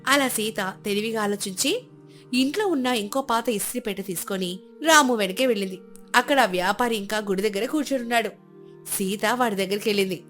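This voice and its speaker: A woman narrating a scripted storybook moral tale in a steady, expressive way. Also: medium pitch, fairly quick, soft background music. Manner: steady, clear, expressive telling.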